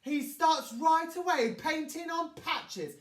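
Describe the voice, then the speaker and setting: High, very animated woman storyteller. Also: slow pace. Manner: dramatic, expressive, addresses young listeners. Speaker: children's storyteller. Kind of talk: animated rhyming storybook narration. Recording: echoey room, distant from mic.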